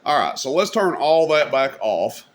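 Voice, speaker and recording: A man with a mid-pitched voice talks informally through a hands-on product demo. His delivery is folksy and rambling, like a hobbyist hosting his own video. There is some noise behind him. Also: sing-song, measured pace. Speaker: hobbyist video host demonstrating radio gear. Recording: clear background noise.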